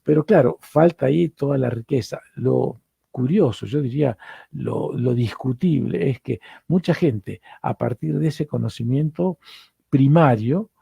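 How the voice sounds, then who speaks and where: Middle-aged Argentine male lecturer, dark gravelly voice, dry room, hesitant and repetitive delivery. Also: medium pitch, a little slow, dry recording. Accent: Argentine Spanish. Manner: hesitant, repeats words while searching for them. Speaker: psychoanalyst lecturer.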